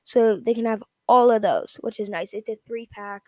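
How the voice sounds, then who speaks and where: A woman giving a casual, unscripted product rundown in a halting, repetitive, informal way. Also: a little high, warm tone, dry recording. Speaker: product reviewer.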